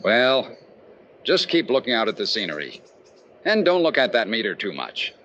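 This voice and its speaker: Man acting a lively radio insurance investigator. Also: mid-range voice, moderate pace, muffled recording, a little hiss or hum. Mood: wry, easygoing. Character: brisk, sharp-eyed male insurance investigator, wry and confident. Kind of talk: old-time radio detective drama acting.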